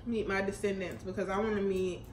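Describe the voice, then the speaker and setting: A woman in her mid-twenties from Houston co-hosting a casual Q&A while eating, relaxed, chatty and quick to laugh. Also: mid-range voice. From Houston, Texas, US. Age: 20s. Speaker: YouTube co-host. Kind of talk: casual chat during a mukbang Q&A. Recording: echoey room with faint noise.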